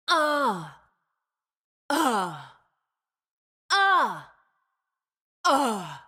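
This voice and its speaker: A woman's voice: mid-range voice, sharp tone, exaggerated voice. A woman voicing a cool, calculating, condescending female scientist fighter in a video game, with a clipped, precise, clinical manner. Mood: pained exertion. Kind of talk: game character voice lines.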